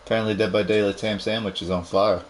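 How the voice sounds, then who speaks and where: A man with a very deep voice chats casually over voice chat during a game. He drops dry, laid-back remarks, with faint noise on the line. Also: fairly even intonation, quick pace. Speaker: gaming friend.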